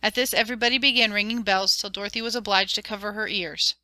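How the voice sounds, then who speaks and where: Woman reading a fairy tale aloud, crisp bright voice, dry. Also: mid-range voice, fairly even intonation, quick pace, dry recording. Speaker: narrator. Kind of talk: storybook narration.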